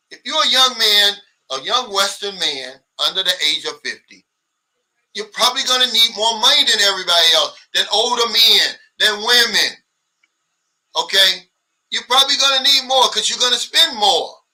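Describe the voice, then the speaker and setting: A man's voice: high voice, bright, forceful tone, recorded from a distance, poor audio. A man in his sixties hosting a casual livestream, reading viewer comments aloud and answering them bluntly, sometimes mimicking others' complaints. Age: 60s. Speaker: livestream host.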